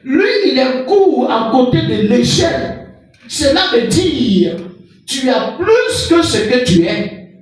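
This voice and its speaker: A man preaching a sermon as pastor, animated and full of everyday examples. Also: extremely high pitch, slow pace. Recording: echoey hall from far off with music.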